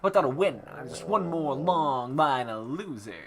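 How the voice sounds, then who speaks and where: A man's voice: fairly high pitch, animated, normal speed, crowd noise. A young man hosting a let's play, joking casually and reading game text aloud. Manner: joking, bantering. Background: background music.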